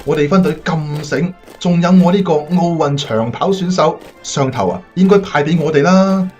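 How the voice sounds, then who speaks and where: A man acts a jovial, cocky young bombardier lieutenant. His voice is high for a man, in radio drama style with faint music behind. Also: moderate pace, darkish tone, lightly acted. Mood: boastful. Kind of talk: Cantonese radio drama acting.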